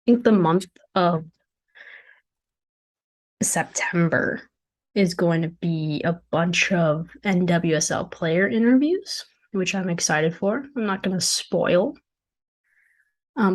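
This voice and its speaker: A young woman with a hoarse voice, hosting her own podcast in casual, chatty solo talk from her dorm room, with self-deprecating jokes. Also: lowish voice, takes it slowly. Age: young adult. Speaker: podcast host and founder. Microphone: desk mic at a computer.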